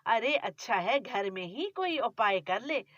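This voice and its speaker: A woman's voice: fairly high pitch, lively intonation, measured pace, fairly bright tone, no room sound, lo-fi sound. A woman voicing a stingy, nagging older mother-in-law who scolds about money. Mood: scolding. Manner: scolding, penny-pinching complaints.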